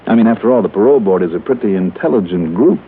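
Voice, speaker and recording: An American actor playing a brisk, self-assured male insurance investigator in a mid-century radio drama. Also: on the low side, expressive, slight background noise. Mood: flirtatious. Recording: tinny old radio broadcast, music.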